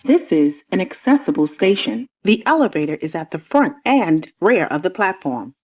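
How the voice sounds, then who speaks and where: A woman's voice: lowish voice, big pitch swings, normal speed, lo-fi sound. A woman reading scripted station announcements for passengers.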